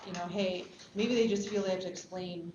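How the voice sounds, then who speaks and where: A fairly low-voiced woman shares feedback in a casual group discussion, picked up from across an echoey room. She speaks tentatively, cushioning her opinions, with clear noise around her. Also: fairly even intonation, fairly quick, highs cut off. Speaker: participant giving script feedback. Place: indoor room at a table read, group around a table. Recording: distant mic in echoey room, noisy.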